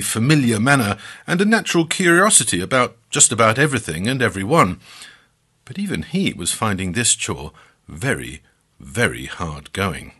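Male podcast narrator: animated, swooping delivery, husky edge, measured dramatic emphasis. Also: mid-range voice. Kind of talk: scripted storytelling narration.

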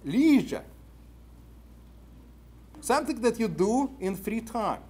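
A man's voice: very high-pitched, big pitch swings, slow pace, warm tone, breathy edge. A man in his fifties with a Russian accent gives a conference lecture at a podium in an indoor meeting room, talking animatedly with many "uh" fillers and putting questions to the audience, picked up by a room camera from a distance. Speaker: conference speaker.

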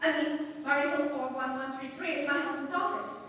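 A woman's voice: high voice, fairly quick, fairly bright tone. A woman playing an anxious, demanding bedridden wife in an old-time radio drama, stammering, breathless and insistent. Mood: anxious, fussy. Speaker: stage actress in a live radio play. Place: small stage hall with seated audience, distant camera. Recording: echoey hall from far off, faint noise.

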